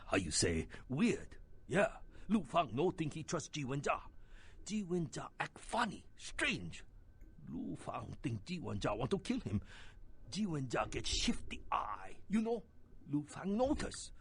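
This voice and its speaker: A gravelly older Chinese ex-hitman for a video game, rambling in broken English with a Chinese accent. He takes his time, yet his pitch jumps about as he grumbles and brags about himself in the third person. Noisy ambience sits behind him. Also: big pitch swings, a little slow, full character voice. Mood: conspiratorial, suspicious. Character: boastful, rambling retired Chinese hitman, shady and bitter. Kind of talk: video game character acting.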